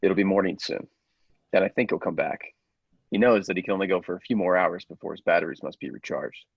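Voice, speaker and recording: Guy doing a grave secretive science assistant in a radio play, deep husky voice, kinda dull dry recording. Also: fast talker, no echo, full character voice. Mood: grave, persuasive. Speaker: podcast actor.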